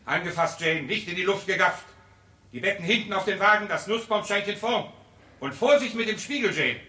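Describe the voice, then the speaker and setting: A man's voice: high-pitched, lively intonation, a little fast, bright, forceful tone, reverberant. A man playing a stern, patronising master of a household in a radio play, giving clipped orders. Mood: brisk, bossy. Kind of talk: 1960s radio play acting.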